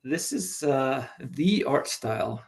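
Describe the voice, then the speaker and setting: A man's voice: medium pitch, slow, creaky. Guy in his 40s from iceland, guest on a video call, laid back, lots of ums. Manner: relaxed, hesitant, many fillers. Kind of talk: casual interview.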